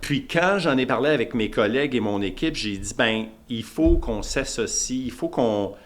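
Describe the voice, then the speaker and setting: A man's voice: medium pitch, animated, normal speed. A man with a Québécois French accent hosting a podcast, asking rambling questions with restarts. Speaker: podcast host. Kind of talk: casual podcast interview.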